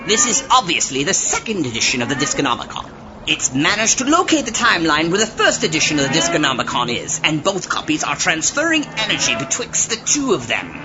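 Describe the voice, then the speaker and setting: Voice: mid-range voice, wildly swooping pitch, brisk. An actor playing a theatrical, pompous mystic doctor who senses occult anomalies in a comedic audio drama. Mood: pompous explaining. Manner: theatrical, dramatic, swooping delivery. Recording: muffled lo-fi audio drama recording. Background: loud music.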